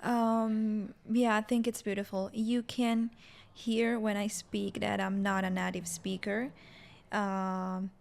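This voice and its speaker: Young woman w a strong italian accent, creaky voice, slow and pretty even, talking thoughtfully in an interview. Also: mid-range voice. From Italy. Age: young adult. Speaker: podcast guest, student. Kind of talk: reflective interview conversation.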